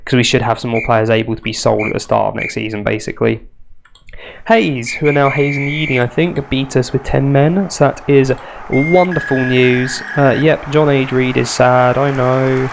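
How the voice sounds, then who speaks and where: A man's voice: mid-range voice, fairly even intonation, normal speed, vocal fry, background noise. A young man with a nasal, congested voice, chatting wryly through a football management game. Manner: chatty, self-mocking, wry asides. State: congested from a cold. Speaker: gaming youtuber. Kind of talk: casual let's play commentary.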